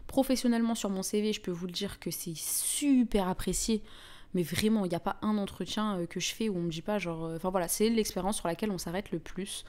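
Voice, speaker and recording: A young woman with a Parisian French accent hosts a casual live chat. She talks in an informal, slangy, chatty way at a middling pitch, addressing her viewers directly. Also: a little fast, a little mellow. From France (Paris). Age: young adult. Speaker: livestream host.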